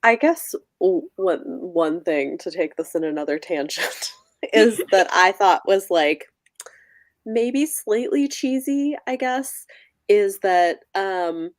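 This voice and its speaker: A woman's voice: mid-range voice, expressive, slow, no echo, clean studio sound. A woman co-hosting a book discussion in a casual, informal and wry way. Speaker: co-host of a book discussion show.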